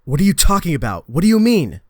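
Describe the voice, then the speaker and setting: A man's voice: on the high side, big pitch swings, quick pace, no echo. A man playing an earnest, rattled young superhero in a comic book dub, disbelieving and confrontational. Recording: dry close recording, faint music behind.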